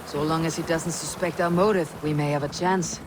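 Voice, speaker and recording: A woman's voice: sits low, fairly even intonation, noisy background, close to an everyday voice. Actress as a blunt, wary Viking warrior woman. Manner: terse, dry, deliberate. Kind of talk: dramatic video game acting.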